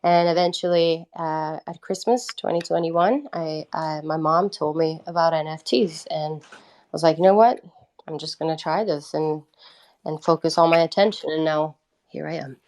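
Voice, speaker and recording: A woman's voice: low voice, moderate pace, a little mellow. Woman chatting casually. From Italy. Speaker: guest, independent musician. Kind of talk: casual conversational storytelling.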